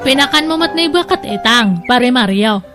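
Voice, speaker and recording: A woman's voice: fairly high pitch, expressive, moderate pace, music in the background, close to an everyday voice. A woman playing a sympathetic village neighbor in an audio drama, speaking in a conversational, gossipy way.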